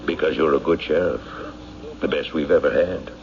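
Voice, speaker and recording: A man playing a persuasive small-town banker and civic leader in a radio drama, measured, insinuating and argumentative. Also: extremely low pitch, vocal fry, noisy background. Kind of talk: old-time radio drama acting. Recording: dull old radio broadcast.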